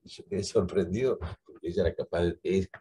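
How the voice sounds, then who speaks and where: A man's voice: low voice, fast, mellow, slightly rough. A man, speaking reflectively on a video call from a library cubicle and repeating words as he thinks. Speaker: guest translator. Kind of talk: conversational discussion.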